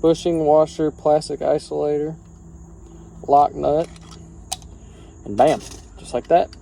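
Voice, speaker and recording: A man's voice: a little high, steady tone, slow pace, gravelly, mellow. A man chatting casually through a DIY truck job outdoors, talking in a rambling, folksy way and restarting his phrases as he works, recorded on a handheld camera. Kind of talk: casual how-to vlog. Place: outdoors beside a truck, crickets around. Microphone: handheld camera, no tripod. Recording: noisy outdoor recording with crickets.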